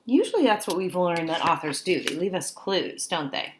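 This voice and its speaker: Animated woman reading aloud to children. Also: on the low side, normal speed, background noise. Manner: expressive, coaxing, prompts listeners with frequent questions. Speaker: teacher reading a picture book aloud to children. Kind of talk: children's read-aloud with questions and asides to young listeners.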